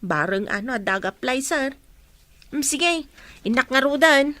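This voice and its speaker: A woman's voice: high voice, lively intonation, measured pace, pushed and bright, no room sound, full character voice. A woman acting as a respectful, obliging housemaid in a dramatised audio story. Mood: respectful, helpful. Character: respectful, obliging older housemaid to a rich employer.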